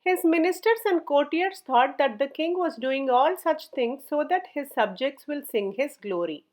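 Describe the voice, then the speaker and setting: A woman's voice: high-pitched, animated, moderate pace. A woman storyteller reading a scripted folk tale as narration.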